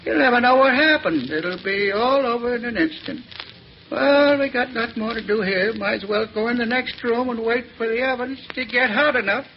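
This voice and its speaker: A sinister old henchman in a vintage radio thriller, with a very high, creaky voice. He talks in a folksy, uneducated way, coolly callous. The recording is muffled with faint noise. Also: moderate pace. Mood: coolly menacing. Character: sinister, matter-of-fact old crematory worker, a crooked accomplice. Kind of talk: 1940s radio acting.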